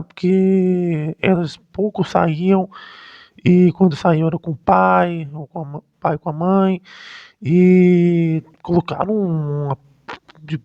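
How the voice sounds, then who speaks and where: A Brazilian man giving casual, unscripted commentary as a history vlogger, halting and often rephrasing himself mid-sentence. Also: a little high, creaky, dark tone. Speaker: history YouTuber. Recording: dull, lo-fi, roomy sound.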